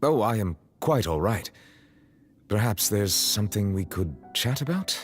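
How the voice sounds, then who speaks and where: An actor playing a dignified, socially awkward gentleman in an anime-style game, speaking in a formal, measured, stiff manner. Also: fairly low pitch, very animated. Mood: reserved, awkward. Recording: game music behind the voice.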